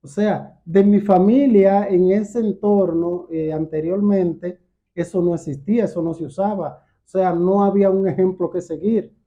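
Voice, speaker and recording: A man with a Dominican accent giving a personal testimony as a guest, halting and repeating words as he goes. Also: sits high, fairly even intonation. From Dominican Republic. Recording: dull, muffled lo-fi sound.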